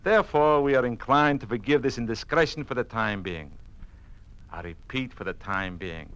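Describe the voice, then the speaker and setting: An actor playing a smoothly menacing Soviet party envoy in an old radio drama, formal, condescending and commanding. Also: male voice, medium pitch, moderate pace, a bit bright, natural voice. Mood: stern.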